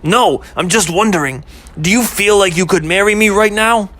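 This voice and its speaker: A man's voice: sits high, normal speed, fairly bright tone. An actor playing a troubled young man who speaks earnestly and hesitantly about his worries. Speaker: boyfriend in second-person story.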